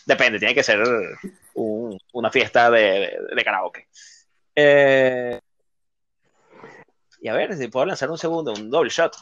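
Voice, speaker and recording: A man's voice: mid-range voice, unhurried, long pauses, a bit bright, dry recording, dull audio. A man co-hosting a casual music talk, hesitant and full of fillers. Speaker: podcast co-host. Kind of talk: casual chat.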